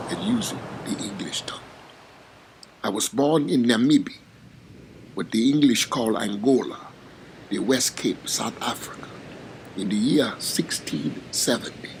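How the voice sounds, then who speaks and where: A man playing an enslaved African boatman who recounts his capture in a slow, formal, solemn monologue. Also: mid-range voice, gravelly, a little hiss or hum, low-quality recording. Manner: slow, measured, formal speech with grave pauses. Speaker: narrator in character. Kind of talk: dramatic first-person monologue.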